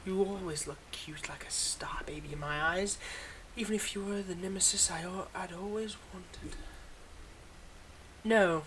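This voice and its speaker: A man's voice: high-pitched, steady tone, measured pace, background noise. A man playing a weary, wry supervillain with a secretly kind side, talking conversationally and a little hesitantly in an intimate roleplay audio monologue. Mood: teasing, fond.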